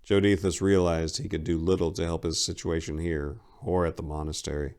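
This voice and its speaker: Low-voiced male storyteller. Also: level tone, a little fast, darkish tone. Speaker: storyteller, narrator. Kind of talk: dramatic scripted narration.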